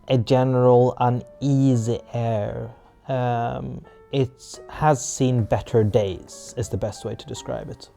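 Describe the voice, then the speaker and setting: Male game master, unhurried and dark-toned, improvising scene descriptions haltingly. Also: medium pitch, vocal fry. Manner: halting, searching for words. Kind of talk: improvised scene-setting for a tabletop roleplay audio drama.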